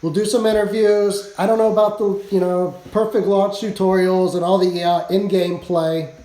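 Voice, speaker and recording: A man live streaming and chatting casually with friends, hoarse from losing his voice. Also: sits high, little pitch movement, normal speed, dark tone, roomy sound, poor audio. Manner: rambling, chatty, laughs often.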